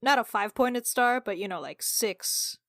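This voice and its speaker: A woman's voice: a little high, lively intonation, moderate pace, a bit bright, no echo. Woman describing casually, very dry sound. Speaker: role-playing game player. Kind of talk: casual character description in a tabletop game.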